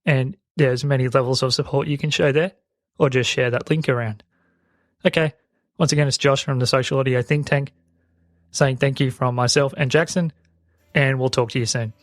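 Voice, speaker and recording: A man's voice: mid-range voice, fairly even intonation, normal speed, no echo. An Australian man with very sibilant speech, explaining things as a podcast host into a close broadcast microphone. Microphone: close broadcast dynamic microphone.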